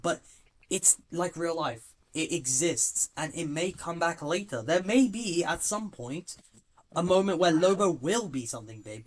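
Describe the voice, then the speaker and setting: A young man hosting a casual podcast chat, excitable and swearing, often stumbling over his words. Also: on the high side. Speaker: podcast host.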